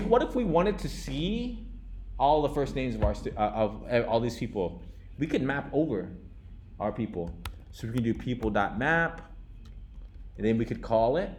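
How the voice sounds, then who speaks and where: A young man leads a live coding class in a relaxed, chatty way, restarting sentences and checking in with the students. His pitch sits mid-range for a man. A faint hiss runs under the recording. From United States. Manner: casual, animated teacher, many restarts and "okay?" checks. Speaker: coding instructor.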